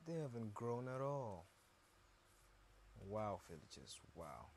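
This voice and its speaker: A man with a deep voice giving casual gameplay commentary, repeating words as he mutters to himself. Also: big pitch swings, slow pace, darkish tone, slight background noise. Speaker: gaming video host.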